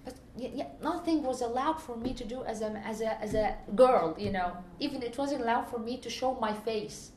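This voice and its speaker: A woman's voice: a little high, moderate pace, hardly any pauses, a little mellow. An Egyptian woman speaking English with an Egyptian accent, earnestly recounting her experiences in a conversational interview and often restarting to find her words. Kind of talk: conversational personal account. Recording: echoey room with faint ambient noise.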